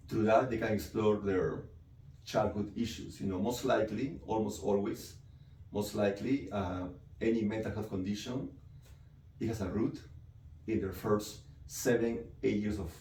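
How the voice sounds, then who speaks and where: A man's voice: on the low side, unhurried, darkish tone. A man from Peru, a psychiatrist explaining his work in an interview, often tagging sentences with "right?" and "you know". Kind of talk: interview answers. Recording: echoey room, mic from a distance, faint noise.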